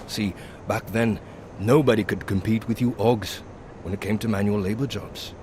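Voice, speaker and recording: An actor playing a blunt, wry, weary pilot with a philosophical streak in a video game cutscene. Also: male voice, lowish voice, steady tone, normal speed, darkish tone, a little hiss or hum, close to an everyday voice. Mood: bitter reflection. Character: weary, philosophical male pilot with an old knee injury.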